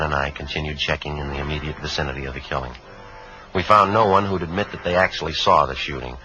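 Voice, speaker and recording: An actor playing a stoic, weary police detective in a 1950s radio drama, speaking tersely and matter-of-factly. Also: male voice. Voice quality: low-voiced. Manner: terse, flat, matter-of-fact delivery. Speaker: lead actor and narrator. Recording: dull vintage 1950s radio recording.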